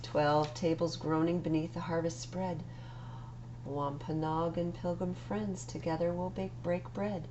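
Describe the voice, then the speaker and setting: A woman reading picture books aloud for children's story time, in an expressive, deliberate storytelling manner. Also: fairly low pitch, dull audio, a little hiss or hum. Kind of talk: read-aloud picture book narration.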